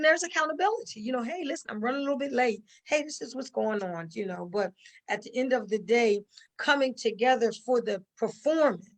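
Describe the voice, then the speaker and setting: A middle-aged woman answering conversationally, telling stories emphatically with repetition and quoted asides. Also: on the high side. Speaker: stage play cast member.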